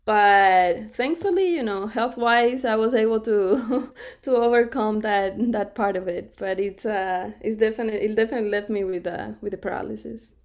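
A woman's voice: mid-range voice, normal speed. A middle-aged woman from Cuba, a podcast guest telling her story in a conversational interview, laughing often and restarting her sentences.

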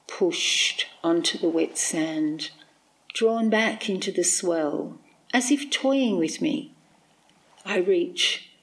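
A middle-aged Australian woman, a poet, reading and reflecting on her work in measured, deliberate phrases. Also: fairly low pitch, low-quality recording.

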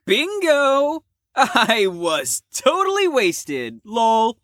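A woman's voice: a little high, huge pitch swings, very slow, a bit bright, no room sound, clean studio sound, exaggerated voice. A woman playing a brash, crude, flippant psychiatric patient in an audio drama, with a theatrical, swaggering manner. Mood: cocky, flippant.

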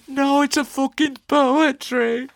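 A man's voice: very high voice, fairly even intonation, slow pace, creaky, no echo, exaggerated voice. A man playing an accused poet in a theatrical interrogation, agitated, defiant and faltering as he protests. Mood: distraught, defensive. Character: accused poet, panicky, defiant, whiny suspect. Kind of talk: theatrical performance-poetry interrogation dialogue.

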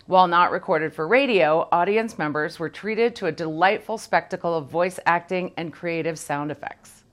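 A woman presenting a local news segment in a scripted, measured read. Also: lowish voice.

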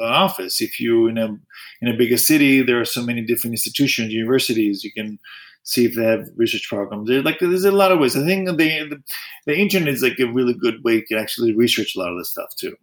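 A man who grew up in Greece and works as an orthodontist, answering interview questions in a halting way, with many restarts and fillers. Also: mid-range voice, lively intonation.

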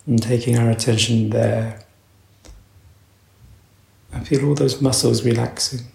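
A man leading a guided meditation as a teacher, speaking in a slow, measured way with long pauses. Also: medium pitch, steady tone, gravelly, a little mellow, echoey room.

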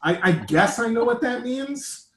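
A man's voice: very high voice, animated, a little slow, reverberant, slight background noise. A man with an American accent, hosting a casual chat in a joking, rambling way that often interrupts itself. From United States. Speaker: podcast host.

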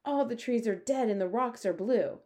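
Blustering, easily panicked military airman female reader acting, children's story, indignant outbursts, raised pitch. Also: moderate pace, dark tone, natural voice. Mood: dismayed. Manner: exclaiming, indignant. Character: blustering, panicky male Air Force chief.

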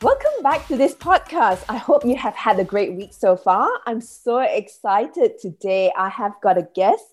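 A woman's voice: mid-range voice, very animated, measured pace, hardly any pauses, darkish tone, breathy edge, soft background music. Effusive woman hosting a podcast. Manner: enthusiastic, effusive. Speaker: podcast host, business coach. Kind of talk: podcast hosting, introducing a guest.

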